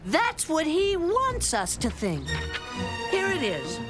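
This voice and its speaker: A woman voicing an earnest, self-important little boy who plays detective in a cartoon, talking in a pompous, deliberate manner. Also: sits high, wildly swooping pitch, heavily acted. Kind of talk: animated children's cartoon dialogue. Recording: loud music under the voice.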